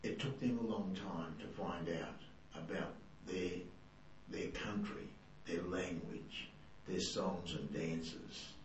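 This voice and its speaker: Deep Australian man in echoey room. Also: fairly even intonation, slow. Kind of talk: earnest spoken testimony. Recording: distant, very echoey room with hum.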